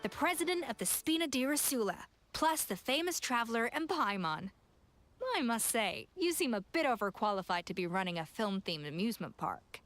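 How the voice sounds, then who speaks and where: A woman's voice: a little high, sing-song, moderate pace, fairly bright tone. A woman playing a confident, exacting young chef in a video game, speaking in a composed, precise, refined manner. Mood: businesslike.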